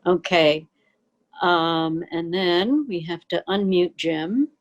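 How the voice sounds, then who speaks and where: Moderator: woman, 60s, unhurried, a little low-pitched, casual. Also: lo-fi sound. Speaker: meeting moderator. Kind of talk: casual technical housekeeping. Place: room at home, indoors. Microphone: device microphone on a video call.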